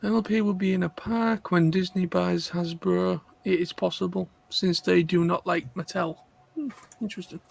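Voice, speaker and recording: Male chat participant, high voice with plenty of creak, informal. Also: fairly even intonation, normal speed. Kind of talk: casual chat.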